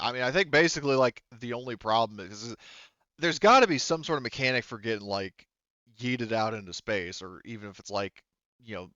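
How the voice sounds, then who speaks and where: A man's voice: lowish voice, pushed and bright, no room sound, muffled recording. A man talking casually as game master of a tabletop game, informal and swearing freely. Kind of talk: casual tabletop game narration and rules talk.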